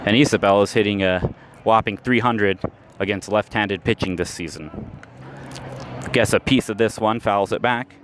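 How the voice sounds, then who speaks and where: A man calling baseball play-by-play for radio, setting the action against stats in a steady, measured delivery, recorded live at an outdoor ballpark. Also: lowish voice. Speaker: play-by-play announcer. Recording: noisy outdoor ballpark ambience.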